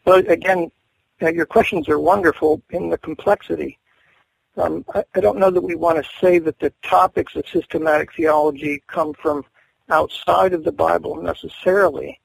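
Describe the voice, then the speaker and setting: A middle-aged male seminary professor answering interview questions in a measured, thoughtful way, pausing often with fillers. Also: a little high, fairly even intonation, gravelly, husky, rough recording.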